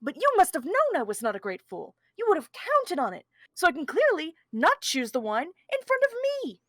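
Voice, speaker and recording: A man's voice: extremely high pitch, huge pitch swings, normal speed, a bit bright, no room sound, clean studio sound, full character voice. A man playing a conceited, scheming criminal mastermind in a theatrical table read, pompous, wordy and argumentative. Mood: excited, pompous.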